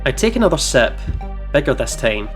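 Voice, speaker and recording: A man's voice: mid-range voice, big pitch swings, brisk. A man hosting a playthrough, switching between dramatic first-person narration of a visual novel and casual, reactive banter with some swearing. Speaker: let's play host reading a visual novel aloud. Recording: game music behind voice.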